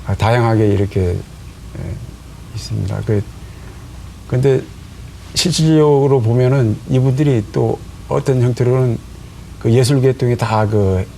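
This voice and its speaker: A man, a folk singer guest on a studio talk show, speaking haltingly with many pauses and fillers. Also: medium pitch, creaky, mellow, a little hiss or hum, rough recording.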